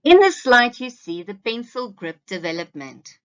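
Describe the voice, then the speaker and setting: A woman's voice: on the high side, sing-song, a little slow, muffled recording. A woman presenting an instructional slide lecture to parents like a teacher, speaking emphatically and directly to her listeners.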